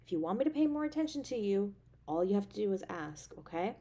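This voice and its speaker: A woman acts out an affectionate girlfriend in an intimate romantic audio drama. Her voice sits at a middling pitch for a woman. She speaks tenderly and expressively, with an anxious streak and a playful, teasing side. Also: quick pace. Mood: caring, coaxing. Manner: tender, expressive, with sighs and soft chuckles. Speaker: voice actor in an audio roleplay.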